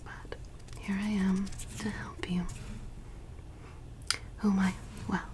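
A young woman in ASMR roleplay as a mysterious forest witch who tends a lost traveler, whispering softly close to the mic and repeating words soothingly in a small dim room. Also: mid-range voice, background noise, lightly acted. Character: mysterious, teasing forest witch woman, caring yet ominous. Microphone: close studio mic.

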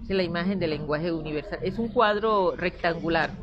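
A middle-aged Colombian woman with a low voice describes her artwork, animated yet hesitant, often repeating words as she searches for them. She speaks on a lapel mic, and the recording sounds dull and lo-fi. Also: a little fast, noisy background. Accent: Colombian Spanish. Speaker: artist, interview guest. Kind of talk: explaining her work in conversation. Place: indoor seating area with a painted wall.

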